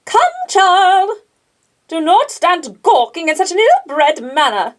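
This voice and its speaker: A haughty older British aristocrat for an audiobook. The voice is high, sharp and throaty, scolding in slow, imperious phrases. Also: female voice, sing-song, full character voice.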